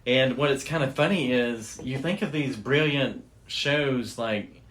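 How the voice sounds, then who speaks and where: A man's voice: mid-range voice, unhurried, a bit bright. A man hosting a podcast, telling a story in a casual, informal and loosely phrased way. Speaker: podcast host.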